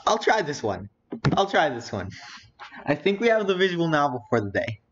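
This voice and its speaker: A man's voice: on the high side, very animated, moderate pace, fairly bright tone. A teenage boy streaming, muttering to himself as he browses and reads titles aloud. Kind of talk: casual live-stream commentary. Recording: dull lo-fi audio with faint noise.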